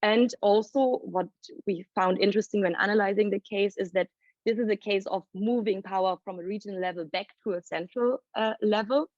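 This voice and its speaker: A woman presenting findings as a report author at a panel launch, explaining at length and thinking aloud with pauses. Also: medium pitch, darkish tone, no echo. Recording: dry, dull lo-fi sound.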